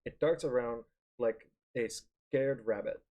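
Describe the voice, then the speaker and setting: A man reading visual novel narration aloud in a live stream, halting and correcting himself as he goes. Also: mid-range voice, lo-fi sound. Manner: halting, stumbles and corrects words. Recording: music behind the voice.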